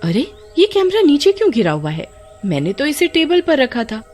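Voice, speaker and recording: Woman as young girl, storybook horror tale, excitable, questioning, lively raised pitch. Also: normal speed, soft background music. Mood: puzzled, alarmed. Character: excitable young village girl, curious and easily alarmed.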